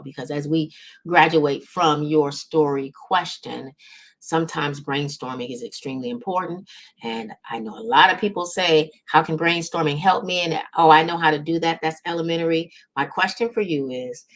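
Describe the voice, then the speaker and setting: A woman speaks as a motivational livestream host. Her delivery is emphatic and folksy, coaching listeners directly with plenty of encouragement. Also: sits low. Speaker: host, business strategist and coach.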